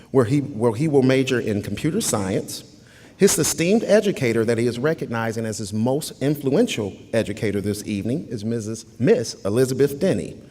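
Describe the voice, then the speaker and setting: A man announcing speakers at a school award ceremony, giving formal, lively introductions. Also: medium pitch. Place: school auditorium with audience. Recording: hall reverb with crowd.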